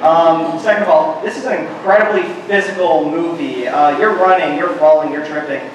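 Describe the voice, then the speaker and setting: Man in the audience, rambling, hesitant, slightly high voice, echoey room, noisy background. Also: moderate pace, distant mic, highs cut off. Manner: rambling, hesitant, fillers and laughs. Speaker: audience member asking a question.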